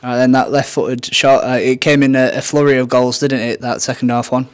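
A man's voice: mid-range voice, flat delivery, fairly quick, clean tone. Guy doing post-match football interview questions, normal mid voice, conversational. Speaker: club interviewer. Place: indoors, off camera.

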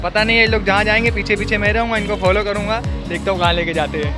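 A young man with a fairly high voice talks casually from his motorcycle, rambling as he rides through city streets. He speaks into a camera mic on the ride, and loud music plays over him. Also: fairly even intonation, quick pace. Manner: chatty, rambling while riding. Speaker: motorcycle vlogger. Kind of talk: casual riding commentary. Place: outdoors on a motorcycle in city traffic.

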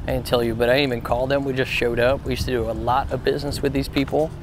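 A man's voice: medium pitch, level tone, background noise. A young man, a real estate investor, chatting casually and jokingly while driving and visiting a title office. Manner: brash, joking, fast-talking. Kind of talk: casual vlog chat. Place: in a pickup truck and outdoors on streets.

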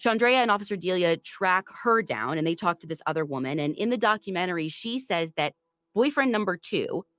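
Animated woman recapping a true crime case. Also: on the low side, a little fast, dry recording. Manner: chatty, animated storytelling. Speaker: podcast host.

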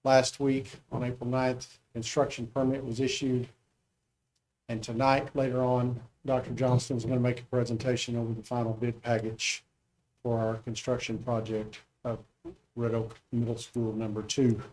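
A man's voice: medium pitch, little pitch movement, normal speed, a little mellow, poor audio. A man presenting a construction update as a school district official. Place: school board meeting room.

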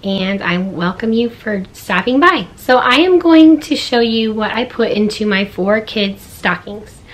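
A woman in her thirties with a hoarse voice, chatting casually to camera about her kids' stocking gifts in a log-home bedroom. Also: medium pitch, expressive, unhurried. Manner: chatty, upbeat, showing items. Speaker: vlogger.

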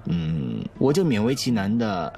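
A man's voice: lowish voice, sing-song, a little slow, creaky, exaggerated voice. A man playing a sly, teasing male college student who coaxes like a suitor in an audio drama. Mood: teasing, smooth. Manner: coaxing, teasing. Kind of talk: Chinese audio drama acting.

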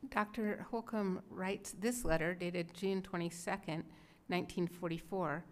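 Female narrator, middle-aged, slightly low register, scripted introductions at a podium. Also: fairly even intonation, measured pace. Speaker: readers theater narrator. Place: indoor museum gallery. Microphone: podium microphone.

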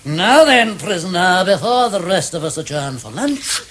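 High, pompous English judge. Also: male voice, very animated, moderate pace, fairly bright tone. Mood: stern, gleeful. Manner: pompous, fussy, theatrical. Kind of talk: 1970s British radio drama acting.

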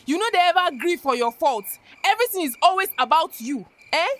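Brief: a high-voiced, fed-up Northern Nigerian wife, accusing and weary. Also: female voice, lively intonation, a little fast, fairly bright tone. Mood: exasperated. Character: exasperated young wife fed up with her husband. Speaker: radio drama actor.

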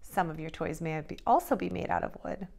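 A woman's voice: on the low side, expressive, a little fast, creaky, darkish tone. A middle-aged woman, a preschool teacher, speaking in simple phrases and questions as in a children's lesson. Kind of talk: children's lesson and read-aloud.

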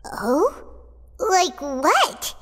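A woman's voice: very high voice, big pitch swings, drawn-out words, long reverb tail, background noise, exaggerated voice. A woman voicing a tiny childlike fairy companion in a video game, chirpy, blunt and curious. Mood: curious, cheeky. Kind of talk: scripted video game dialogue.

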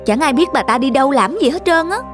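A woman's voice: high voice, lively intonation, fast, music in the background. A woman playing a gossipy, suspicious neighbour in a cartoon, with a conspiratorial, insinuating manner. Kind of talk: Vietnamese cartoon voice acting.

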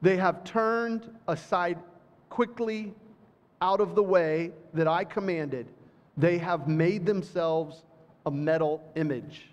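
A middle-aged man preaching to a congregation, reading scripture slowly and then talking loosely with fillers and restarts. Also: sits high. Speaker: pastor. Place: church hall with audience. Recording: dull, reverberant hall recording.

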